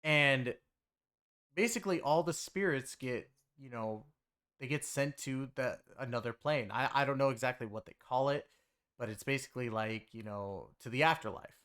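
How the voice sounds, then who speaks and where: A man's voice: mid-range voice, moderate pace, a bit bright, clear, resonant tone. A man giving a casual, rambling game story review in a conversational way, leaning on filler phrases. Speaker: game reviewer and content creator.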